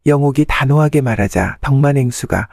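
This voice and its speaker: A man's voice: medium pitch, brisk, a little mellow, no room sound, clean studio sound. A man narrating a folktale from a script as the storyteller.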